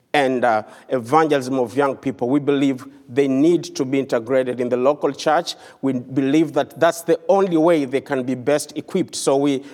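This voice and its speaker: A man's voice: medium pitch, darkish tone, in a large hall. A man from Kenya with a Kenyan accent, giving an earnest, conversational mission report to a church congregation with touches of humor. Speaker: visiting missionary speaker. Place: church sanctuary.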